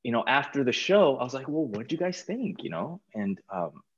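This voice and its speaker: A middle-aged American man chatting casually as an interview guest over a video call, informal and halting, often repeating words. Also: mid-range voice, very animated, slightly rough, lo-fi sound. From California, United States. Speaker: guest, stage performer. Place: small plain room indoors, video call.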